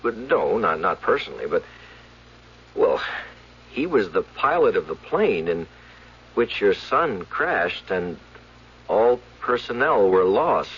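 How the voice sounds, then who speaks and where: An actor playing an earnest, baffled official who speaks haltingly and with astonishment in a radio drama. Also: male voice, on the low side, very animated, breathy edge, exaggerated voice. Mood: shaken, disbelieving. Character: earnest, baffled male official attending a rescued pilot. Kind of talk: 1970s radio drama acting. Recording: dull vintage radio broadcast.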